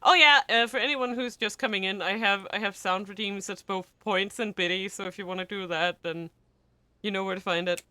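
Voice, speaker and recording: A young woman streamer who speaks Danish natively, reading a visual novel aloud and chatting with viewers, giggly and excitable with sudden squeals. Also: medium pitch, a little fast, clean tone, no echo. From Denmark.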